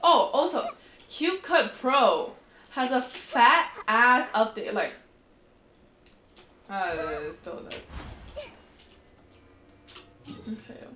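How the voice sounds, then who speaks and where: A woman's voice: on the high side, lively intonation, drawn-out words, long pauses, bright, forceful tone, roomy sound, a little hiss or hum. A woman livestreamer chatting casually while playing a game, rambling in a slangy, informal way. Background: faint game music.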